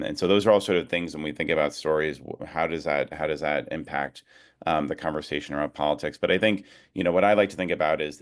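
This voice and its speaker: Really deep smooth guy giving an informal talk on a video call, kinda hesitant and thoughtful. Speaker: advocacy organization leader, guest speaker. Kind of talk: informal talk at an online rally.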